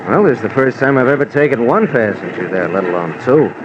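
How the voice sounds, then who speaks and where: An actor playing a blunt, laconic schooner captain in a 1950s radio drama. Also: male voice, mid-range voice, expressive, fast talker, lightly acted. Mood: dry, blunt. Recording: muffled old radio, noisy background.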